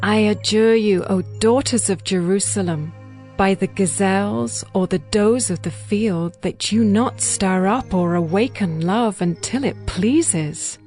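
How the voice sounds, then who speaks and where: An actress playing a tender, devoted young bride in a dramatized scripture reading, with measured, poetic delivery. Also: female voice, mid-range voice, darkish tone, faint music behind, close to an everyday voice. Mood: tender, adoring.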